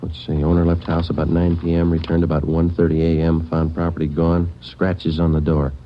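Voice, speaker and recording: An American actor playing a laconic police detective sergeant in a 1940s radio drama, speaking in clipped, matter-of-fact phrases. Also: male voice, sits low, monotone, fairly quick, lightly acted. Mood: businesslike. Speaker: narrator and lead actor. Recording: dull, noisy 1940s radio recording.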